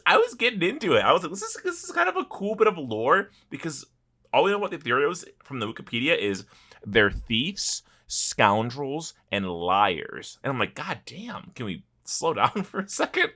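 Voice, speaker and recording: A man's voice: on the high side, very animated, moderate pace, pushed and bright. A man co-hosting a podcast in casual banter, jokey and sweary, acting out mock quotes as he goes. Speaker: podcast co-host.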